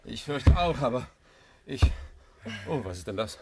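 A man's voice: mid-range voice, sing-song, normal speed, gravelly. A man playing a young, impulsive and wry amateur detective held captive in a 1960s German radio crime drama. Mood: restless. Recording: old radio recording, faint noise, clicks.

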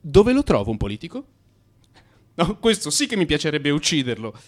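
A man's voice: a little high, very animated, moderate pace, faint music behind. An actor playing an agitated, frustrated man confessing to his analyst in a theatrical radio monologue, moving between muttering and outbursts. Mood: excited, scheming.

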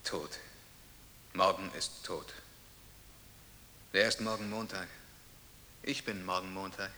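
Bright, low-pitched, solemn man in long reverb. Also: steady tone, slow pace, full character voice. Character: bewildered man, self-proclaimed dead hero, earnest. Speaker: actor. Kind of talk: German radio play monologue and dialogue. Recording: long reverb, audible hiss.

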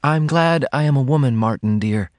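A man voicing a shy, tender young woman in love, speaking softly and earnestly as in an audiobook. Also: mid-range voice, moderate pace, no echo, pitched above the performer's own voice, close to an everyday voice. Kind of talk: audiobook character dialogue.

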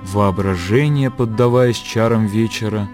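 A man's voice: lowish voice, measured pace, music in the background. A man narrating a radio play in a scripted literary style.

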